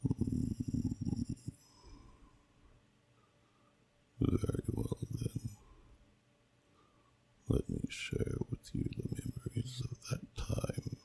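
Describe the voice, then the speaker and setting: A man voicing an ancient, solemn dragon who slowly tells a story in a deep, gravelly, breathy half-whisper, in ASMR style. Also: warm tone, full character voice. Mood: calm, inviting. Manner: slow, solemn, deliberate, archaic phrasing. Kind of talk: ASMR storytelling, scripted narration.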